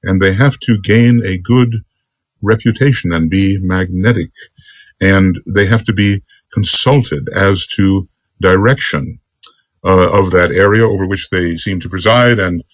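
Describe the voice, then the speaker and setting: A man giving an extemporaneous spiritual lecture as a teacher, speaking earnestly in deliberate, halting phrases. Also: sits low, steady tone, no echo. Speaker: esoteric teacher.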